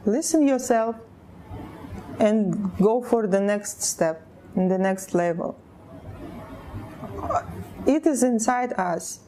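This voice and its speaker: A woman from Russia with a Russian accent, speaking halting, broken English as she tells her personal story in an interview, often pausing to search for words. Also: medium pitch, warm tone, a little hiss or hum.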